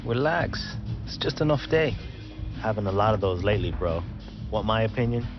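A man plays a blunt footballer teammate with a low voice, admonishing and critical in a video game drama. The audio is slightly dull, with music clearly behind it. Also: expressive, normal speed, lightly acted. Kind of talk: scripted game drama dialogue.

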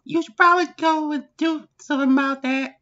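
A man voicing a female secretary in falsetto, delivering lines urgently in an improvised comedy parody dub. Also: fairly even intonation, brisk, bright, forceful tone, lo-fi sound. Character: squeaky female secretary delivering news to her boss.

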